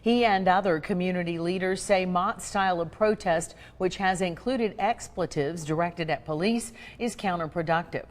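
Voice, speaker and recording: A woman's voice: lowish voice, normal speed, few pauses, long reverb tail. A woman anchoring a TV newscast, presenting scripted story introductions and follow-ups. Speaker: TV news anchor.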